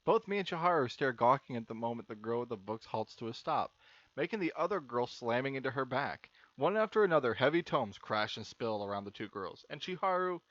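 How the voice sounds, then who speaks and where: A man's voice: medium pitch, animated, a little fast, fairly bright tone, no room sound, lightly acted. A man playing an easygoing schoolboy protagonist who narrates a visual novel. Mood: narrating. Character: teenage student narrator-protagonist, easygoing and teasing boy. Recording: dull lo-fi mic, faint music behind.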